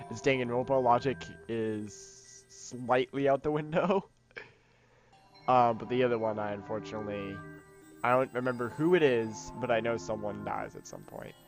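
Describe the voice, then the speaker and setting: A man talks his way through a video game with a blocked, stuffy nose from a cold, in an ordinary mid-range voice. He rambles casually, cuts himself off and swears now and then, while faint game music plays underneath. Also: medium pitch. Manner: chatty, self-interrupting, laughs often, swears casually. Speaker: let's play host. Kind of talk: casual game commentary with character voice readings.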